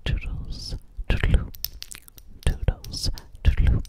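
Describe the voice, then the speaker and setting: A woman's voice: slow, close to an everyday voice. A woman playing a sweet, slightly sassy alien girl in an ASMR roleplay, whispering breathily close to the microphone and repeating her words soothingly. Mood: sweet, pleading. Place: dim living room indoors. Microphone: close-up at a large ASMR microphone.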